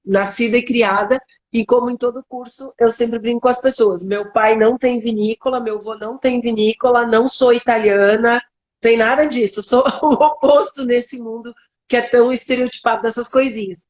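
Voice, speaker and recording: Lively southern Brazilian woman, bright-voiced. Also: on the high side, normal speed. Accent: Southern Brazilian (Gaúcho) Portuguese. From Serra Gaúcha, southern Brazil. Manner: lively, laughs easily, self-deprecating. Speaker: guest winemaker. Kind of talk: conversational interview.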